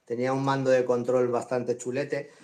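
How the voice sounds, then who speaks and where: A man's voice: medium pitch, monotone, normal speed, a little mellow. A middle-aged man from Madrid with a Spanish accent, chatting casually as a guest on a live video call and laughing often. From Madrid, Spain. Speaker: guest drone hobbyist. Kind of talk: casual live-stream interview.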